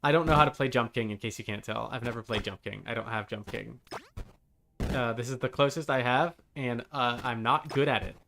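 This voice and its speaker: A man's voice: mid-range voice, brisk, creaky, a bit bright, slight background noise. A man casually chatting on a gaming live stream, rambling along in a wry, joking way. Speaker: game streamer.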